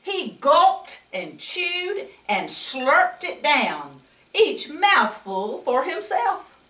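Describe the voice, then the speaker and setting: Older woman, grandmotherly storyteller, unhurried pace, picture-book read-aloud, coaxing questions to children, mic at a distance in an echoey room. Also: sits high, very animated, fairly bright tone.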